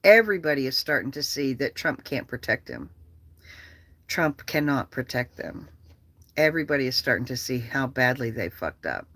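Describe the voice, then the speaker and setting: A livestream host chatting casually and rambling, with an animated, profane manner and a habit of repeating phrases. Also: mid-range voice, normal speed, no echo.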